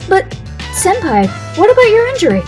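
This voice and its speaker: A woman playing a feisty, skeptical teenage schoolgirl, expressive and outspoken, in a fan audio drama. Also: extremely high pitch, fairly quick, over music, exaggerated voice. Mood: worried.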